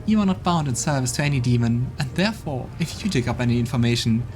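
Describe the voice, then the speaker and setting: A man's voice: medium pitch, expressive, fast talker, a little mellow, lightly acted. A man voicing an arrogant, scornful female demon queen with a contemptuous, scoffing manner, over game music. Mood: haughty.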